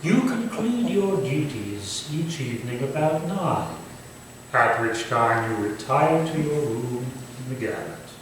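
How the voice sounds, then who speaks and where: Man acting a slow, menacing Victorian gentleman villain. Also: medium pitch, big pitch swings. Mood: quietly menacing. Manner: formal, deliberate, theatrical delivery. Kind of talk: staged reading of a Victorian thriller. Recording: distant, echoey stage recording with faint noise.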